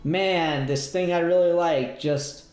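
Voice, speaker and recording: A man giving a casual review as a podcast co-host, speaking in halting, searching phrases. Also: fairly high pitch, a little mellow. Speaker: podcast co-host reviewing a fan audio drama. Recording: echoey room sound.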